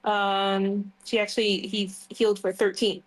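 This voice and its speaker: A woman's voice: mid-range voice, level tone, a little slow, rough recording. A woman chatting casually as she plays a tabletop roleplay game.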